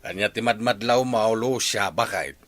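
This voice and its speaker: A man's voice: fairly low pitch, normal speed, fairly bright tone, faint music behind, natural voice. A man playing a wary, questioning middle-aged village father in a radio drama, with an Ilocano Filipino accent. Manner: questioning, emphatic.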